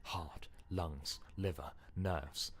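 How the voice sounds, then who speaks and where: A man voicing an obsessive inner voice in a game, chanting repetitively in a hushed, breathy whisper. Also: low-pitched, steady tone, very slow, a little hiss or hum, heavily acted.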